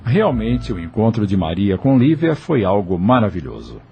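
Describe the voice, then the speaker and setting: A man's voice: on the low side, very animated, normal speed, creaky, dull audio. A man with a Brazilian accent narrating an audio novel from a script. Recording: faint music under the voice. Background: music.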